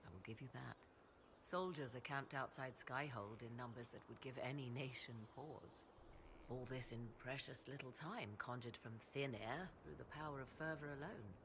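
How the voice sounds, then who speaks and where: An actress playing a proud, enigmatic sorceress in a video game, speaking in theatrical, archaic and formal phrases. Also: female voice, a bass voice, normal speed, noisy background. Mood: measured, cautionary. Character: proud, enigmatic apostate sorceress, arcane advisor. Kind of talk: video game dialogue acting.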